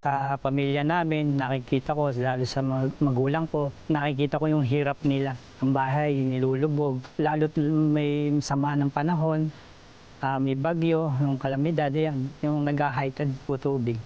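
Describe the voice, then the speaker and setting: A man's voice: mid-range voice, level tone, normal speed, warm tone, clean tone, highs cut off. A Filipino man giving an earnest testimonial as a resident of a riverside community. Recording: music under the voice. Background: background music.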